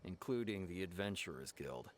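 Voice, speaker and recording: City guard officer, lowish voice, assured, matter-of-fact, official, anime game dub, faint noise. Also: male voice, lively intonation, moderate pace.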